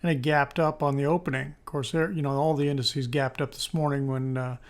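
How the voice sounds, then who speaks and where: A man with a slightly hoarse voice presenting stock market analysis in an informal, tentative way, thinking aloud as he goes. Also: medium pitch, steady tone, a little fast. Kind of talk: screen-share market analysis commentary.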